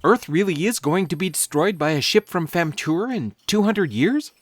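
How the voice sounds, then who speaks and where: A woman's voice: fairly low pitch, expressive, normal speed, no echo. A woman playing an earnest, bewildered space captain with an incredulous, questioning delivery in a comic sci-fi audio drama. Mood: puzzled, earnest.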